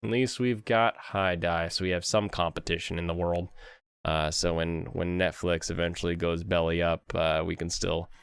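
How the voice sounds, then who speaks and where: A man hosting an anime review stream, chatting casually and rambling, with a jokey, animated manner. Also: low-pitched, creaky, smooth.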